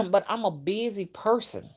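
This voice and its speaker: A woman's voice: mid-range voice, expressive, unhurried, a bit bright, breathy edge. Woman in her fifties, chatting casually. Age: early fifties. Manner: conversational, addresses viewers directly, often checks in with "okay" and "you know". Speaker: livestream host and content creator. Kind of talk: casual livestream chat with viewers.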